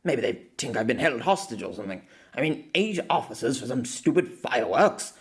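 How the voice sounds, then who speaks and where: A man voicing a gruff, hot-tempered retired soldier who growls out angry outbursts. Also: medium pitch, sing-song, brisk, pitched below the performer's own voice. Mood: gruff frustration.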